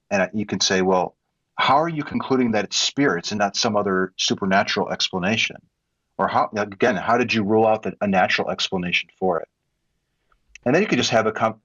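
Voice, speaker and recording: A man co-hosting an online audio room, casually explaining tips with a relaxed manner. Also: on the low side, a little fast, slightly rough, no echo, lo-fi sound. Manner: relaxed, explaining with many likes. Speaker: co-host of an audio chat room. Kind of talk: casual advice talk.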